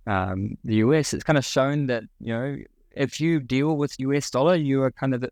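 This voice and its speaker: A man's voice: lowish voice, no room sound. A man from New Zealand with a New Zealand accent, a podcast guest answering questions casually, with many fillers and restarts.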